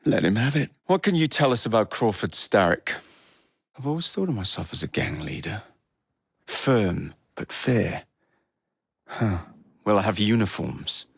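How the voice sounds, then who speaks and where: A man's voice: fairly low pitch, expressive, a little slow, gravelly, long pauses, breathy edge, no room sound, studio quality, heavily acted. A man playing a cocky young Victorian London gang leader in a video game, with an English accent and a cheeky, wry manner. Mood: wry, confident.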